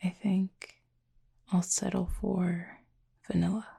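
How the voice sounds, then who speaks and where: Woman playing a creaky, breathy, soft-spoken cupid. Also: lowish voice, flat delivery, dry recording, studio quality, heavily acted. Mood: thoughtful, tender. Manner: thoughtful, measured, gently formal. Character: soft-spoken, reflective cupid roommate, gentle and guarded. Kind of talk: intimate ASMR-style audio roleplay.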